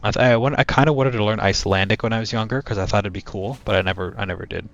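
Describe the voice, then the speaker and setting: A young man streaming a flight game, chatting to his viewers in a low-key, monotone voice. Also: racing. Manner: low-key, talks to chat while playing. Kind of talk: casual gaming stream chat. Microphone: cheap headset mic. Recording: dull headset mic with game music.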